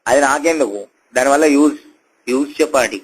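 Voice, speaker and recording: Fast-talking, argumentative man, Telangana Telugu accent. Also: medium pitch, slight background noise. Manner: argumentative, repetitive, foul-mouthed. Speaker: customer complaining on a helpline call. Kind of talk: heated phone complaint. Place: telephone call. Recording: phone call recording.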